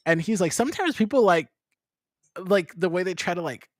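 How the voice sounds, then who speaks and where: A man chatting casually, expressive and laughing often, with many filler words. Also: high voice, brisk, dry recording, clean studio sound. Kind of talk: casual chat.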